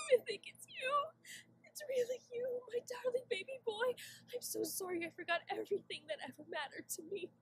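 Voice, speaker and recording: A woman playing a remorseful, doting pony mother in an animated drama, with an emotional, pleading, breathy delivery. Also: very high-pitched, slight background noise. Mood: tearful, overwhelmed. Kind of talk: animated fan drama acting.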